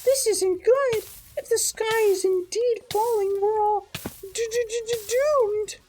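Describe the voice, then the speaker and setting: A woman voicing a gossipy, panicky female duck in a shrill, stammering voice. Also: slow pace, darkish tone. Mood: panicked.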